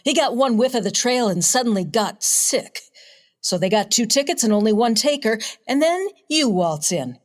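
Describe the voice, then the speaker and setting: A woman playing a sardonic, unflappable high-society newspaper reporter in an old-time detective radio play. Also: animated, normal speed, lightly acted. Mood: matter-of-fact, wry. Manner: dry, sardonic.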